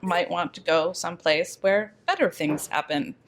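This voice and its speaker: A woman playing a tabletop game, chatting casually with halting, hesitant phrasing. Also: on the low side, echoey room, slight background noise. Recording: music bed under voice. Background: background music.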